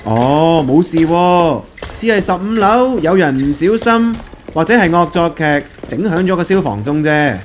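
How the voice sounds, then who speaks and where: A woman's voice: on the low side, lively intonation, a little slow, slight background noise. A woman playing a matter-of-fact firefighter in a radio drama, speaking Hong Kong Cantonese. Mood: casual, reassuring. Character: matter-of-fact firefighter reporting a false alarm.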